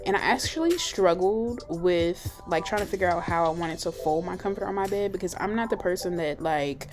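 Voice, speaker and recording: A young woman with a slightly low voice, chatting in a relaxed voice-over for her home vlog. She talks easily and loosely, with plenty of "like" and "um". Music plays clearly behind her. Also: brisk. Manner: chatty, relaxed, lots of likes and ums. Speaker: vlogger. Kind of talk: casual voice-over chat. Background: clear background music.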